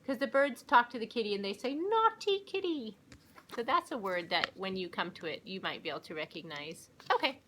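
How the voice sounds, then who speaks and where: A woman reading aloud slowly to young children like a teacher, with a deliberate, coaching and animated manner. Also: medium pitch, slight background noise, poor audio. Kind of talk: slow read-along for young children.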